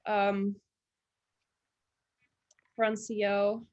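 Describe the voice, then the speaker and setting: A young woman who grew up in England after early childhood in Latvia, a Russian speaker, chatting casually as a podcast guest and pausing often to find her words. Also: medium pitch, no echo, dull audio. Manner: relaxed, thinks aloud with many fillers. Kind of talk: casual interview chat.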